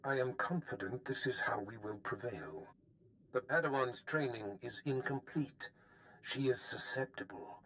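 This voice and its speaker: Imperious Sith Lord: mid-pitched, theatrical, condescending, cruel game villain. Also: male voice, animated, a little slow, fairly bright tone. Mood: calculating, wary. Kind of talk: video game voice acting.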